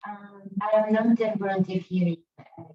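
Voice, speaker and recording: A woman's voice: mid-range voice, steady tone, moderate pace. A woman in her twenties, a social worker, introducing herself shyly and hesitantly on a video call. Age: 20s. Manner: shy, giggly, hesitant. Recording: muffled call audio.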